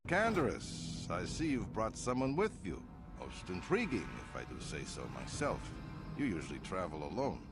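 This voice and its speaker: Deep, swooping, pompous crime boss. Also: male voice, wildly swooping pitch, moderate pace, loud music behind the voice, heavily acted. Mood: intrigued. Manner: pompous, theatrical, suavely threatening. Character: smug, imperious male crime boss, outwardly gracious, threatening. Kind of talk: dramatic video game acting.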